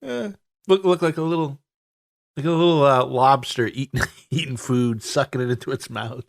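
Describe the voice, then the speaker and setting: A man's voice: mid-range voice, normal speed, gravelly. A man co-hosting a casual podcast, stuffed up, talking in a profane, rambling way with stammered restarts and laughs. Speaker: podcast co-host. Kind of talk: casual banter.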